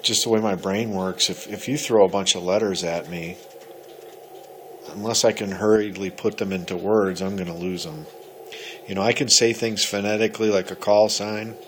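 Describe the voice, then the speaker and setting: A man chatting casually as an amateur radio operator, explaining things and rambling as he thinks aloud. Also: deep voice. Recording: clearly noisy recording with ambient sound.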